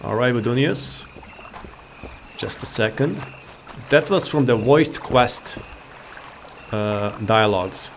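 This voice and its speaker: A man's voice: medium pitch, takes it slowly, long pauses, noisy background. A man giving casual, rambling gameplay commentary, laid-back and thinking aloud. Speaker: gaming video creator.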